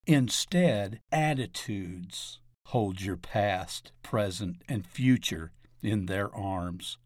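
Slow-paced man reading an article aloud. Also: mid-range voice, no echo, clean studio sound. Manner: deliberate, announces each question and answer. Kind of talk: scripted narration of a question-and-answer article.

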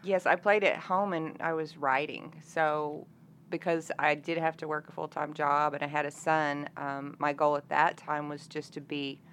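A woman's voice: sits low, flat delivery, moderate pace, fairly bright tone. A woman. She chats casually as an interview guest, with some noise behind her. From Arkansas, United States. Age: 50s. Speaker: interview guest, country singer-songwriter.

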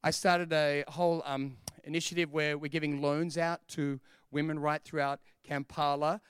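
An Australian man quoting a wealthy retired woman, brisk and bold, in a sermon anecdote. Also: fairly high pitch, little pitch movement, clear, resonant tone, dry recording, clean studio sound, pitched below the performer's own voice, lightly acted. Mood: matter-of-fact.

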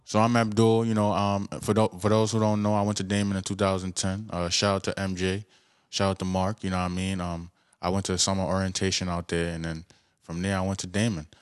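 A man's voice: sits low, little pitch movement, fast talker, clear, resonant tone, no room sound, studio quality. A young man from Brooklyn, a podcast guest, chatting casually and often asking "you know what I mean". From Brooklyn, New York.

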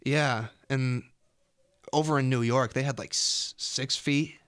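A man's voice: medium pitch, steady tone, takes it slowly, a bit bright, clear, resonant tone, dry recording, clean studio sound. A young man hosting a casual radio chat in an upbeat, effusive way. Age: young adult. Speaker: college radio show host. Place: radio studio. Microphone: close studio microphone.

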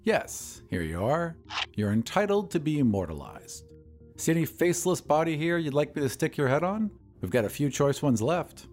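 Man as old painter, gruff, sarcastic, gloomy, lively intonation, audio story, faint music. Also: a little high, normal speed. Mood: mock formality. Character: gloomy, sardonic old male painter, cynical and mocking.

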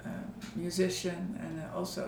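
A woman's voice: on the low side, flat delivery, normal speed, vocal fry, reverberant, noisy background. A woman, a guest artist telling her story unhurriedly in casual conversation at her home. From Netherlands. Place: home living room.

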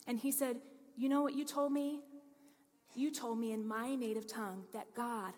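A woman pastor preaching a sermon, telling a story and reading scripture with earnest, lively emphasis. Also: on the high side, moderate pace. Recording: long hall reverb.

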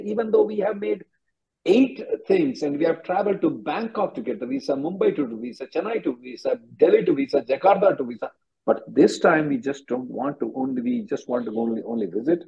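A man's voice: fairly high pitch, expressive, fairly quick, gravelly, breathy edge. A man working as an immigration attorney, bluntly advising callers on a conference call and repeating himself for emphasis. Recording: dull lo-fi conference call audio.

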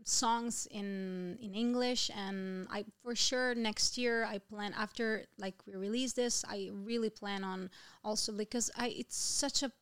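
A woman from Italy, a singer-songwriter chatting as a podcast guest, bubbly and quick to laugh, often restarting her sentences. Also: medium pitch, steady tone, a bit bright, clean tone. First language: Italian. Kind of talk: casual podcast chat.

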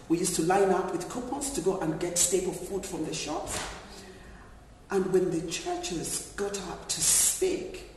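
Panel speaker, male, from Zambia; measured, thoughtful delivery; heard from a distance in a big echoey room. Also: a little high, darkish tone, slight background noise. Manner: measured, reflective, with frequent fillers. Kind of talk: panel discussion remarks. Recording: echoey hall, distant mic.

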